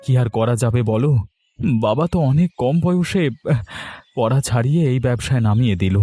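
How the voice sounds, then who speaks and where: A man's voice: medium pitch, expressive, brisk, warm tone, natural voice. A man voicing a worried, weary village husband and shrimp farmer in a fairy-tale cartoon. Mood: weary, resigned.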